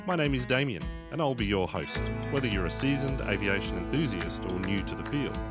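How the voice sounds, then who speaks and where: A man's voice: on the low side, animated, fairly quick, over loud music. A man hosting an aviation podcast, who reads a scripted opening and then chats casually, prompting his guest with questions. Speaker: podcast host and pilot. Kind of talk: scripted intro, then casual interview chat.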